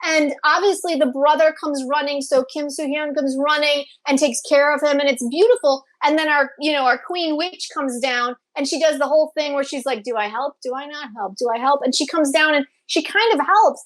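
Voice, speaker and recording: A woman's voice: sits high, normal speed, hardly any pauses. A woman co-hosting a casual, opinionated review chat, gushing and emphatic, often repeating phrases for emphasis. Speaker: co-host of a drama review show.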